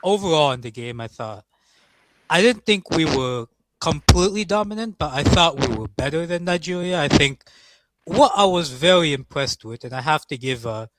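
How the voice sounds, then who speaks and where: A man in casual football reaction chat, animated, often restarting and repeating his words. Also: fairly high pitch, moderate pace, rough recording. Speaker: football show co-host.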